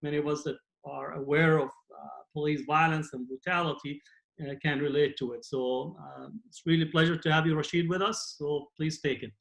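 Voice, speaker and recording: A man's voice: a little high, fairly even intonation, a little fast, creaky, fairly bright tone, rough recording. A man moderating a panel in an informal way, linking remarks and introducing speakers.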